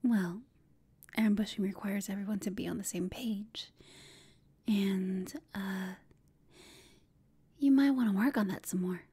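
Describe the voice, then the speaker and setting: A woman performs a flirtatious villainess in an intimate ASMR roleplay. Her voice is extremely breathy and mostly whispered, with a soft, mellow tone. She takes her time, teasing and taunting her enemy with smug amusement. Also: mid-range voice, a little slow, long pauses. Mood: mocking, amused.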